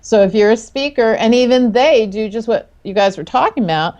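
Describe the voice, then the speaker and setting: A woman's voice: on the high side, lively intonation, brisk, a little mellow, dull audio. A woman panelist talking casually and with strong opinions in a group discussion, outspoken and wry.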